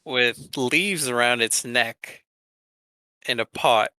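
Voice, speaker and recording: A man's voice: medium pitch, slow, pushed and bright, smooth, no room sound. A man co-hosting a podcast, presenting a countdown list in casual chat with an informal, hesitant delivery. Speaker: podcast co-host.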